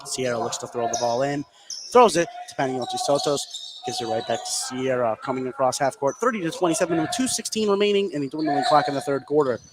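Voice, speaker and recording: A man doing live radio play-by-play commentary of a basketball game, excitable on big plays. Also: medium pitch, fast talker. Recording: clearly noisy live sports broadcast.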